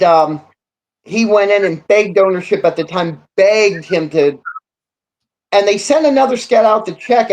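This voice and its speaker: A talk show voice of middle pitch tells sports stories in a casual way. The delivery meanders, doubling back and slipping into quoted dialogue. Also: poor audio. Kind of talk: casual storytelling in sports chat.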